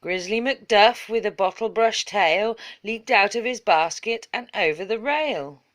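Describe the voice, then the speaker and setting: Slow-paced woman reading children's verse. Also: mid-range voice, a bit bright. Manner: measured, rhythmic verse delivery. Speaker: story reader. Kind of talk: children's storybook read aloud.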